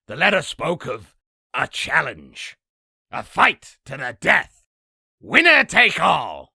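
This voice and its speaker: A man voicing a boastful raspy demon, telling an exaggerated battle story theatrically for a comic dub. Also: fairly high pitch, wildly swooping pitch, slow pace, bright, forceful tone, no room sound, clean studio sound, heavily acted. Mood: boastful, grandiose. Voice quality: raspy, gravelly. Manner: theatrical, self-aggrandizing. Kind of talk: comic dub character narration.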